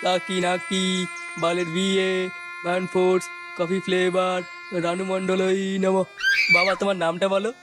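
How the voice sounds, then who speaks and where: Comic cartoon casting: sham priest, deadpan chanting on a level, raised pitch man performing. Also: normal speed, over loud music, lightly acted. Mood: solemn chanting. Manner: droning, deadpan. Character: solemn bogus wedding priest chanting foul gibberish.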